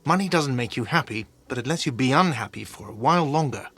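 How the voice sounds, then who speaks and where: An actor playing an exasperated, sarcastic hostel manager in a video game, with an irritated, condescending way of talking. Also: male voice, mid-range voice, lively intonation, fast, a bit bright, slightly rough. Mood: condescending, weary.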